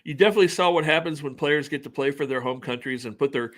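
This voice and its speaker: A man co-hosting a sports talk show, talking casually and quickly, often repeating how he starts a sentence. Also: a little high. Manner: quick, relaxed, repeats openings.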